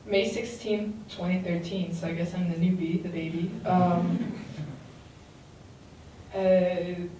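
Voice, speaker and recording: A woman's voice: lowish voice, flat delivery, slow pace, long pauses, warm tone. A young woman from Puerto Rico on a panel, giggly and flustered as she speaks. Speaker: student panelist. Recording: very echoey hall, far mic, noisy.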